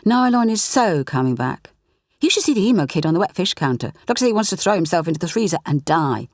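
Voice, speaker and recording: A woman's voice: on the low side, big pitch swings, fast, a little mellow, dry recording, clean studio sound. A woman voicing a cheeky, slangy fifteen-year-old schoolboy for an audiobook, over-cheerful and sarcastic. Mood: wry, sarcastic. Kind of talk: audiobook character voice.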